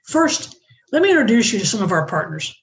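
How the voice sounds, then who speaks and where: A woman hosts an online panel and reads her welcome from a prepared script. Her delivery is measured and even, and her voice sits in a middle register. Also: mid-range voice. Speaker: host.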